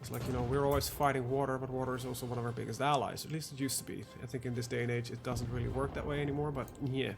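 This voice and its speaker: A man's voice: mid-range voice, level tone, very fast, gravelly, few pauses. A young man, rambling through casual gameplay commentary with lots of fillers. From Netherlands. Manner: rambling, chatty, trails off with fillers. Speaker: gaming video host.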